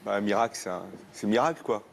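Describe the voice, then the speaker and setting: A man's voice: fairly low pitch, expressive, very fast, creaky, a little hiss or hum. A man commenting on guests' stories on a TV talk show, emphatic and repeating himself. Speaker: studio commentator. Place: TV studio set.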